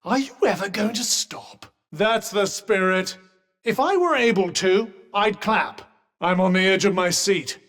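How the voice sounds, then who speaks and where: An actor voicing a snooty, sardonic talking magical cuff in a video game, with a refined British accent and a dry, pompous manner. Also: male voice, sits high, a little slow, fairly bright tone. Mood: dry sarcasm. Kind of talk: game companion combat barks. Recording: long hall-like reverb.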